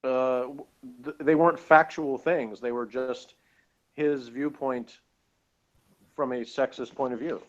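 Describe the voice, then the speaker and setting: A man in a casual review discussion, arguing his point in a halting, self-correcting way. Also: mid-range voice, fairly even intonation. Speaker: podcast co-host reviewing TV episodes. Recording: dull lo-fi audio.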